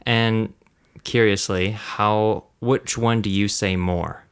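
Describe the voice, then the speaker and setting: A man hosts a language lesson in an easygoing, conversational way, his voice smooth and resonant. He sounds friendly and encouraging as he explains. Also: fairly low pitch, fairly even intonation, takes it slowly. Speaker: language course host. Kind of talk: casual teaching chat.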